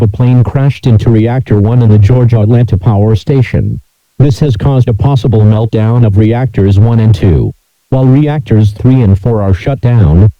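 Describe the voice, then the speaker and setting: A man's synthesized voice reading scripted emergency alert messages in a flat, measured, robotic delivery. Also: medium pitch, no echo, muffled recording.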